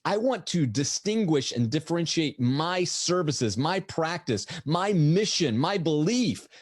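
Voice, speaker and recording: Slightly raspy man, lively presenter. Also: a little high, unhurried, dry recording, studio quality. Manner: energetic, rhetorical questions, frequent "right?". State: voice tired from lecturing all morning. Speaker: veterinarian presenter. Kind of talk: conversational webinar presentation.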